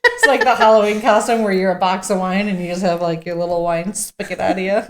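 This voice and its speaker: A woman's voice: mid-range voice, steady tone, fast, a bit bright. A woman co-hosting a podcast, casually reading through a script, goofy and giggly, tripping over words and commenting as she goes. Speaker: podcast co-host. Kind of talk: scripted table read mixed with casual banter.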